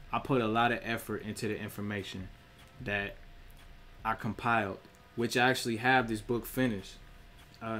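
A man's voice: on the low side, a bit bright. A young man, streaming from a small room, preaching about spirituality in a direct, profane way. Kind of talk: casual spiritual monologue.

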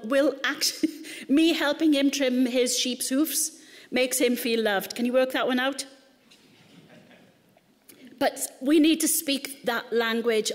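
A middle-aged woman speaking to a church congregation in a chatty, joking way. Her voice sits a little high for a woman, and the long reverb of a hall hangs on every word. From Wales. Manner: chatty, humorous, conversational. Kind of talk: sermon talk.

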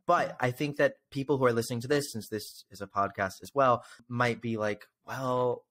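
A man's voice: mid-range voice, moderate pace, fairly bright tone. A man in his twenties hosting a casual interview, explaining things with enthusiasm into a close desk microphone. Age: 20s. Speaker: podcast host. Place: indoor home room. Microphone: close desk microphone on a video call.

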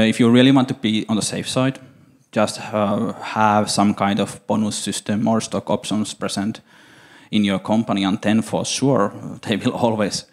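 A middle-aged man with a Finnish accent answers audience questions on a conference stage into a handheld mic, measured and hesitant, with many fillers. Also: lowish voice. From Finland. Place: conference stage, large hall.

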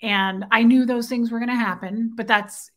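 Woman livestreaming from her living room, kinda scratchy throat, bright sharp voice, rambly and distracted. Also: fairly high pitch, fairly even intonation, moderate pace. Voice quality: slightly scratchy, strained throat. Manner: chatty, distracted, reads out viewer names. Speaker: livestream host. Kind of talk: casual livestream chat. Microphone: webcam at desk.

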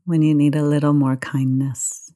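A woman leading a guided meditation in a gentle, soothing, unhurried manner. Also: sits low, flat delivery. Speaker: meditation teacher.

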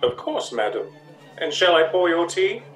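A man's voice: fairly high pitch, sing-song, moderate pace, soft background music. A middle-aged man playing a formal, deferential but shady waiter in a period radio play. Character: deferential waiter secretly in league with villains. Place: at home on a video call. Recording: roomy, distant home video-call sound.

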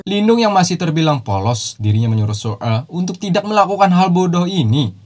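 A man's voice: sits high, big pitch swings, fairly quick, a little mellow. A man narrating a cartoon series recap in a casual, slangy voice-over, dropping teasing jokey asides.

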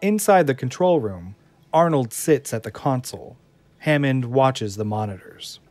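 Guy narrating scene directions, even descriptive read, music clearly under him. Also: medium pitch, measured pace. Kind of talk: scripted narration of stage directions.

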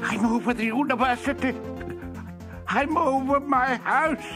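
A man's voice: very high-pitched, unhurried, over music, voice pushed up. A man voicing a cheerful, absent-minded professor in a storybook, stammering and exclaiming as he goes. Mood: amazed.

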